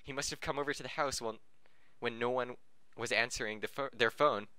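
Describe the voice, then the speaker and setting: A man reads a story aloud for a podcast in a bright, forceful voice. He sounds informal and stumbles into corrections and joking asides. The sound is dry, with little room on it. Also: medium pitch, steady tone, a little fast, smooth, no echo. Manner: breaks off to laugh, joke and correct misreadings. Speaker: podcast host reading fan fiction aloud.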